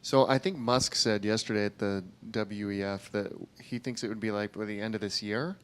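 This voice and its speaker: A man with a somewhat low voice gives his view casually at a panel, dropping in "like" as he talks. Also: steady tone, normal speed, smooth. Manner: casual, with "like" fillers.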